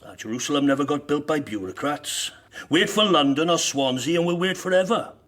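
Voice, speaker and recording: A man's voice: a little high, brisk, a bit bright. An actor playing a blunt, fervent socialist miners' union man in a radio drama, speaking persuasively. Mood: forthright. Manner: forthright, rhetorical, persuasive.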